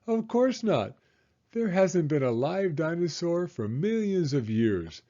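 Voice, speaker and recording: A man voicing an excitable, teasing young girl beaver with an emphatic, giggly manner in a storybook. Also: a little high, unhurried, lo-fi sound, pitched above the performer's own voice. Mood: giggly, amused.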